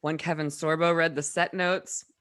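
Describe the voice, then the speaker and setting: Female host, casual chat, effusive manner, slightly low pitch. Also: level tone, moderate pace, fairly bright tone. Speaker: talk show host.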